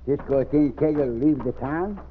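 An actor playing a simple, excitable, loyal cowboy sidekick with a Mexican accent and comic broken English in a radio western. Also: male voice, on the high side, normal speed, lightly acted. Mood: eager. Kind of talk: old-time radio western acting. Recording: muffled, noisy vintage radio recording.

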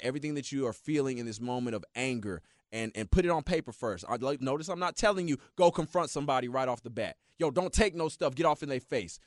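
Fired-up dude preaching about life plans, dry mic, yo yeah yeah yeah. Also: male voice, mid-range voice, no echo. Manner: preachy, hyped, repeats "yeah, yeah, yeah" and "yo". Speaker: motivational radio host. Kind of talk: motivational talk show monologue.

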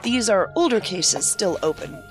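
Woman acting a wry lady fed in a radio play, teasing, really varied pitch, music under it. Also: expressive, moderate pace, lightly acted. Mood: dry, businesslike. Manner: dry, teasing. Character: wry, stubborn female federal field agent. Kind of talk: audio drama acting.

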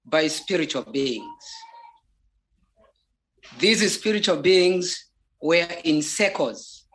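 A native Bemba speaker with a Zambian accent giving a personal spiritual testimony in a halting, deliberate way, repeating words for emphasis. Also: medium pitch, a bit bright, rough recording.

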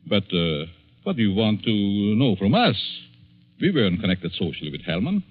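An actor with a Hungarian accent playing a scheming psychology professor feigning innocence, in deliberate, theatrical 1940s radio style. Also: male voice, lowish voice, very animated. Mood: feigned shock. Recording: muffled, narrow-band 1940s radio recording.

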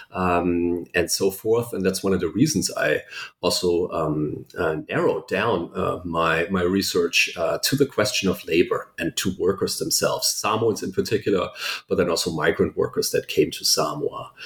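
A German-native man, a historian, answering interview questions about his book, thoughtful and full of ums and restarts. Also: sits low. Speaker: historian author.

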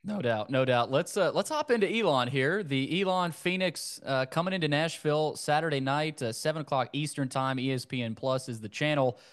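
A man with a somewhat high voice for a male speaker. He hosts a sports interview show. His talk is informal and conversational, wandering a little as he builds his questions. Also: brisk, few pauses. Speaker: podcast host.

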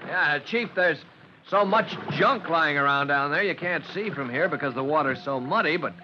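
An actor playing an eager young firefighter, boyish and keen, in an old-time radio drama. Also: male voice, fairly high pitch, normal speed, lightly acted. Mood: excited, eager. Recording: dull, hissy vintage radio recording.

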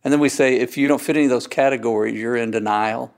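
A man's voice: medium pitch, quick pace, gravelly. A man who is a pastor, speaking in a folksy, humorous way in an interview.

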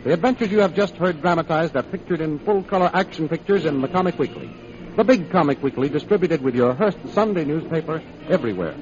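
A man's voice: a little high, darkish tone. A male announcer narrating an old radio adventure serial in a theatrical, emphatic, scripted style. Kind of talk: dramatic 1930s radio announcing. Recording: muffled, noisy vintage radio recording.

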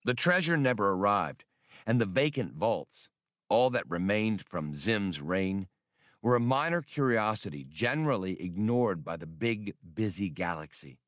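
Low, dry-recorded male audiobook narrator. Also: animated, unhurried, fairly bright tone, no room sound. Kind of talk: scripted narration of an adventure novel.

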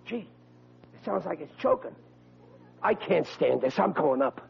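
A man's voice: fairly high pitch, animated, unhurried, vocal fry, slightly rough, exaggerated voice. An actor playing a bumbling, good-hearted young policeman with folksy blue-collar speech in a vintage radio comedy. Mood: worried, muttering. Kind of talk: 1940s radio comedy acting. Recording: noisy, muffled vintage radio recording.

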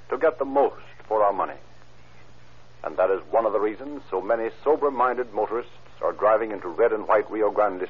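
Low, husky vintage radio announcer, tinny sound. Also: male voice. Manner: formal, measured salesmanship. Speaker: announcer and narrator. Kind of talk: 1930s radio sponsor announcing. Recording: narrow, tinny 1930s radio recording.

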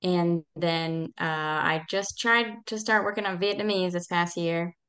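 A woman speaking as an interview guest in casual, halting conversation, often correcting herself as she explains. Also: on the low side, a bit bright. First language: English. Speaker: podcast guest, language club organizer.

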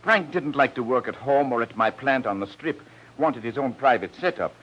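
An American actor playing an agitated, blustering radio producer in a 1940s radio drama, speaking emphatically. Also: male voice, mid-range voice, animated, normal speed, natural voice. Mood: anxious, insistent. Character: agitated, fast-talking male radio show producer. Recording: muffled old radio recording, faint noise.

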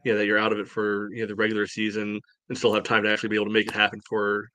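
A man's voice: fairly low pitch, completely flat delivery, rapid-fire, sharp tone, dry recording, low-quality recording. A man co-hosting a casual sports discussion, often padding his points with "you know". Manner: peppers speech with "you know". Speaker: podcast co-host.

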